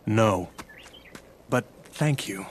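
Low, slow, proud farmer father. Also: animated, a little hiss or hum. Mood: proud, reserved. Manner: grave, terse. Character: proud, stubborn farmer father in hard times. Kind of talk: animated Bible film acting. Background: music.

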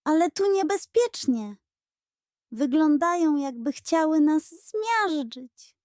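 An excitable little girl from a children's audio story, played by a woman, pitched high and full of cheer. Her voice is bright-eyed in manner yet soft in tone, with a very dry sound. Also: slow, no echo. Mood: amazed.

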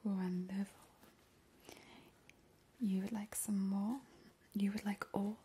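A woman's voice: mid-range voice, level tone, slow, warm tone, slight background noise, lightly acted. A woman voicing a gleeful, ghoulish vampire in an ASMR roleplay, relishing her words and repeating them soothingly. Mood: doting, ghoulish. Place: indoor kitchen counter.

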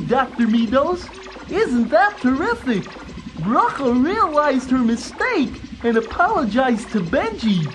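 Naive eager sidekick, high-pitched, slow, lively, children's audio story. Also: male voice, noisy background, heavily acted. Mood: eager, anxious. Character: eager, naive, squeaky helper Schlumpy.

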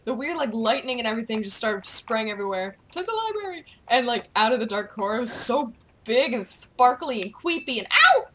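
A young woman chatting casually while gaming, excitable and playful, with goofy exclamations and bits of on-screen text read aloud. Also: on the high side, a little fast, noisy background. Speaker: gamer, video creator. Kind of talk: casual gameplay chat, reading quest captions aloud.

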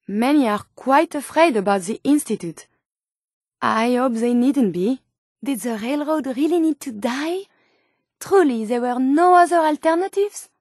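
A woman's voice: a little high, darkish tone, dry recording, clean studio sound. A woman voicing an eager, idealistic robot scientist in a video game, speaking precise, formal lines with a French accent. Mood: troubled, moral concern. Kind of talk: scripted video game companion dialogue.

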